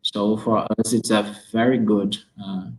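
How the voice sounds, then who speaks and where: A man with a Brazilian accent hosts a conversation over a video call from his home. His voice sits on the low side, and his English comes out halting as he searches for words, with frequent laughs. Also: level tone. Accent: Brazilian Portuguese. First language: Portuguese. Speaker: host. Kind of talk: online conversation, relaying audience comments.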